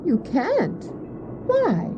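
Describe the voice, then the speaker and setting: A woman's voice: high voice, wildly swooping pitch, very slow, noisy background, heavily acted. A woman playing a kindly, gracious, wealthy lady in an old-fashioned audio drama. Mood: curious, kindly. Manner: gracious, exclamatory. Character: well-dressed, kindly, wealthy lady in a carriage. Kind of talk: retro audio drama acting. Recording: dull old cassette tape.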